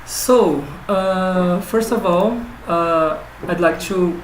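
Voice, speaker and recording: A man's voice: high-pitched, slight background noise. Brazilian guy hosting a dev stream, lots of restarts, roomy echo. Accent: Brazilian Portuguese. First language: Portuguese. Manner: halting, many restarts and fillers. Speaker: game developer host. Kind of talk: casual dev livestream chat.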